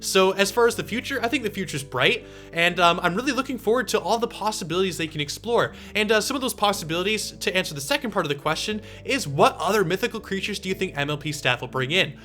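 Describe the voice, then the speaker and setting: A man's voice: high-pitched, expressive, fast, hardly any pauses, sharp tone, faint music behind. An eighteen-year-old man talking casually to viewers as he answers questions, in a chatty, explanatory way.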